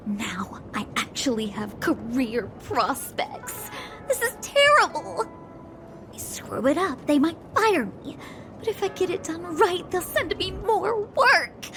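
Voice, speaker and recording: An actress playing a lazy, grumbling young clerk in a video game, whining with swooping, sighing delivery. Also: female voice, high voice, full character voice. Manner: grumbling, breathy sighs, dramatic swoops in tone. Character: lazy, whiny young female junior clerk, reluctant worker. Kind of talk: comic video game voice acting.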